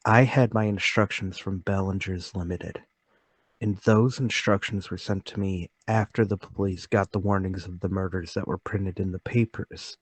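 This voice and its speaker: A man's voice: low-pitched, fairly even intonation, normal speed, dry recording. A man playing a meek, bewildered murder suspect, speaking hesitantly and politely in a mystery radio play table read. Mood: quietly insistent.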